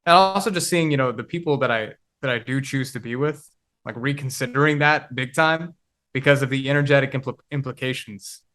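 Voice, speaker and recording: A man in his twenties speaking as a guest on a podcast. He talks in a reflective, halting manner and often pauses to find the right word. His voice has an ordinary middle pitch. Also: a bit bright. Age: 20s. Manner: reflective, halting, searching for words. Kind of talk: casual conversation.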